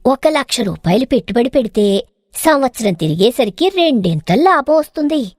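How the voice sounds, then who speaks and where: A woman's voice: on the high side, sing-song, moderate pace, no echo, clean studio sound. A woman voicing a scheming village neighbour with a persuasive manner in a moral story. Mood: coaxing. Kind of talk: acted moral-story dialogue.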